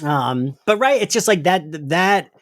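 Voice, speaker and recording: An animated man in casual podcast conversation, with pitch that moves a lot. He is emphatic and sweary, often breaking off and restarting his sentences, in a dry recording. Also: a little slow, no room sound. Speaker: podcast host. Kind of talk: casual chat, recapping an audio drama.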